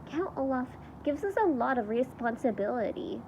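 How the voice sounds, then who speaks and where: A well-mannered, inventive girl from a children's storybook, voiced by a woman reader. She speaks earnestly in a fairly high voice, with slight noise in the recording. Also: sing-song, moderate pace, darkish tone, muffled recording. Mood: polite, eager.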